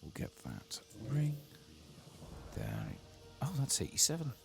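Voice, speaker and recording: A man softly speaking and whispering ASMR game commentary, muttering to himself as he goes. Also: medium pitch, wildly swooping pitch, slow, vocal fry, music in the background. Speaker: host of an ASMR game playthrough.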